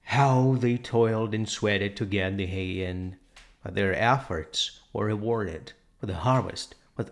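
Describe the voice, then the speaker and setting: A man reading a classic novel aloud as narrator, in a measured storytelling manner with deliberate pauses. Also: fairly low pitch. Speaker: audiobook narrator. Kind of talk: scripted literary narration.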